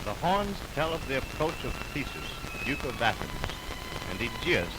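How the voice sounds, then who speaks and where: Animated 1920s radio narrator, a man. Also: mid-range voice, very noisy. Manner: formal, theatrical, measured phrasing. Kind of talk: 1920s radio scripted storytelling. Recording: old 1929 radio recording, music underneath.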